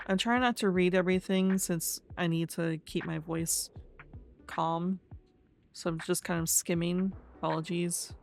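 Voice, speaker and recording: A woman commentating casually as she plays a video game, hoarse and losing her voice, talking quietly to herself. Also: lowish voice, steady tone, unhurried, faint music behind. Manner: quiet, subdued, talking to herself while playing. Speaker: gaming video host. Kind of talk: casual let's-play commentary.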